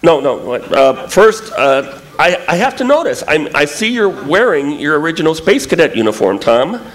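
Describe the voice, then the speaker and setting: A man's voice: a little high, sing-song, moderate pace. A man hosting on stage as master of ceremonies, mixing announcements and comic banter on a noisy live recording.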